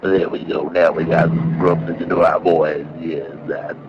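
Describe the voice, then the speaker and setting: A man voicing a swaggering, happy old blues singer with a gruff, throaty voice and a boastful, repetitive drawl. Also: a bass voice, flat delivery, moderate pace, very noisy. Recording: dull lo-fi sound, music behind.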